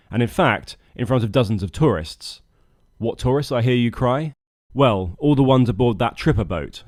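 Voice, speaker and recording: A man's voice: lowish voice, darkish tone, no echo. A British man narrating a scripted vlog as a boat skipper, with a wry, dryly humorous manner. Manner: wry, dry humour.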